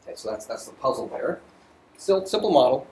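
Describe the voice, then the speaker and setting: A man giving an informal university physics lecture, halting as he thinks aloud. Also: medium pitch, sing-song, a little mellow. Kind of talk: lecture voiceover on slides, informal explaining. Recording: roomy echo, faint background noise.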